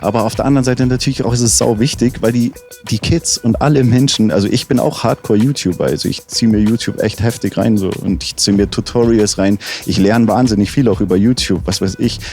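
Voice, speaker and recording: Eager young-sounding man, low voice. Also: brisk, few pauses, darkish tone, faint music behind. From Munich. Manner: enthusiastic, slangy, many restarts. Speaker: rapper, interview guest. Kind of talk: casual interview chat.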